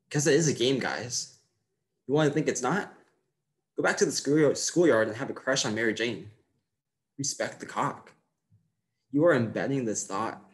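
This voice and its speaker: A man performs a brash male motivational guru on stage. He takes his time at an ordinary male pitch. Each short phrase lands as a punchy, cocky declaration. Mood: commanding, defiant, then smug, emphatic. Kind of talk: motivational seminar monologue, stage acting.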